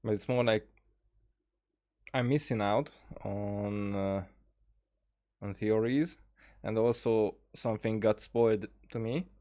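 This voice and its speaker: Male game streamer, lower register, unhurried and rambling, casual chat with halting delivery. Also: steady tone, dark tone. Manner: rambling, halting, trails off mid-thought. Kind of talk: casual livestream chat over gameplay.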